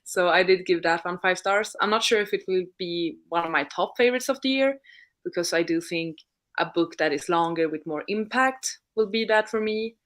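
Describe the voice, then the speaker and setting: Woman, voice a bit on the low side, casual livestream book chat, thinks it over as she talks. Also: normal speed, fairly bright tone. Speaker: co-host of a livestreamed reading sprint. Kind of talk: casual unscripted livestream chat about books.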